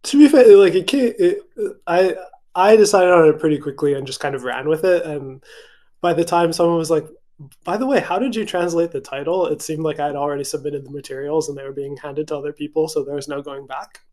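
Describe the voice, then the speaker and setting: A man's voice: fairly high pitch, fairly quick, warm tone. A young man from Hawaii, a literary translator speaking casually on a video call, hesitant with many fillers and restarts. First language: English. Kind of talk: casual virtual event conversation.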